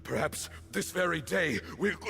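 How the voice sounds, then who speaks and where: A gravelly, ailing old man speaks slowly and solemnly as a video game temple leader. His weak, coughing voice strains under loud music. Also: high voice, big-room sound, full character voice. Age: older.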